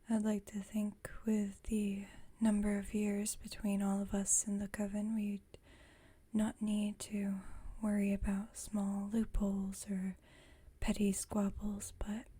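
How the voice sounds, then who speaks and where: Woman as a slow, whispering, calm vampire roommate. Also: medium pitch. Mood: dry, calm. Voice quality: soft, breathy whisper. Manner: unhurried, gentle, slightly formal phrasing. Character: calm, genteel, dryly witty female vampire roommate, centuries old. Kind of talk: whispered ASMR roleplay.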